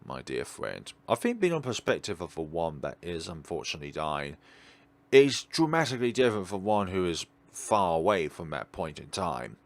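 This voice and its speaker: A man's voice: sits low, big pitch swings, moderate pace. A man hosting a let's play, reading aloud and musing in a rambling, digressive way. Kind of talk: reading a visual novel aloud with rambling off-script commentary.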